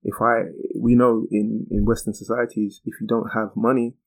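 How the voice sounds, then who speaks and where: British man, casual explainer podcast host. Fairly low pitch, strong vocal fry, informal and chatty. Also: flat delivery, moderate pace, low-quality recording.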